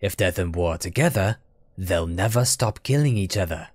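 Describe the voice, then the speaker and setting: An actor playing a stern, logical god in an audio drama, matter-of-fact and formal. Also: male voice, low voice, expressive, normal speed, a little mellow. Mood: stern, factual.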